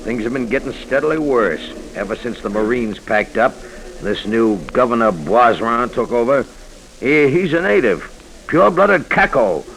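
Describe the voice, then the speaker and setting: A man's voice: mid-range voice, lively intonation, moderate pace, gravelly, fairly bright tone. An actor playing a brash, cynical American insurance man in 1940s radio style, slangy and blunt. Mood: confiding, cynical. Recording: faintly noisy 1940s radio recording.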